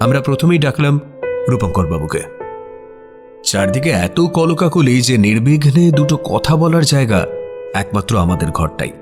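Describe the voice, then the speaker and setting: A man's voice: medium pitch, big pitch swings, moderate pace, lightly acted. A man playing a detective's eager, inquisitive companion who also narrates the audio story expressively. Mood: narrating. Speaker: narrator and sidekick character. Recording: music behind the voice.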